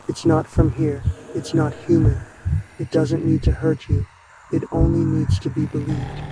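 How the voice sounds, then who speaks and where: A man narrating a scripted horror emergency broadcast in a grave, ominous, measured way. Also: a little high, very noisy.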